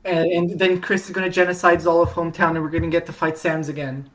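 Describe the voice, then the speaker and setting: A woman talks casually as a guest on a fan podcast, her voice sitting a little low. She rambles, doubling back and restarting her sentences with plenty of fillers. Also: level tone, quick pace, poor audio. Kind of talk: casual chat.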